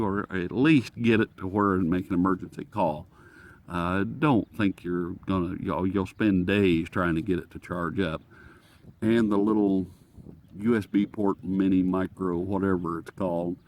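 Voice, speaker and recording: A man giving a casual, rambling gadget review outdoors, folksy and self-deprecating, easily sidetracked. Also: on the low side. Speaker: product reviewer presenting to camera. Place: outdoors on a breezy day. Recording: breezy outdoor recording with wind noise. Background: light wind noise.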